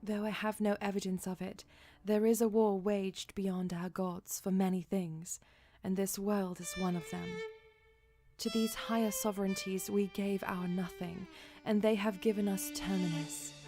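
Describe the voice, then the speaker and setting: A woman's voice: medium pitch, steady tone, warm tone, natural voice. Woman voicing a solemn, sorrowful survivor, over music. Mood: reverent, resolute. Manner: measured, poetic, solemn. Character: grave, sorrowful last survivor of a fallen fantasy race. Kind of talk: solemn dramatic reading of a confession. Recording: musical score under the voice.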